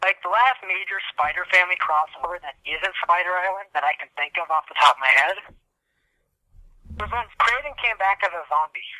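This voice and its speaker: A man's voice: sits high, a little fast, pushed and bright, dry recording, low-quality recording. A man chatting casually as a podcast co-host in a cynical, grumbling, profane way. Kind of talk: casual chat.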